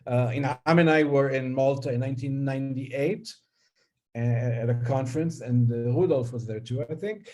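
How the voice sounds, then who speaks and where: A man's voice: medium pitch, moderate pace, mellow. A man whose first language is Hebrew, giving an academic linguistics lecture, hesitant, with many fillers and restarts, often checking in with "right?". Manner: asks listeners "right?".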